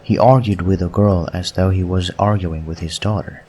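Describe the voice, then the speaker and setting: A man's voice: low voice, fairly even intonation, moderate pace, mellow, slight background noise. A man narrating a scripted story as an audiobook reader.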